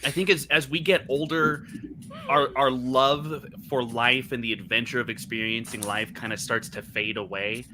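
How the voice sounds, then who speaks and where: A man's voice: mid-range voice, steady tone, moderate pace, background noise. A man with a youthful-sounding voice, speaking reflectively as a voice actor on a casual video-call panel. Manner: reflective, earnest, with restarts.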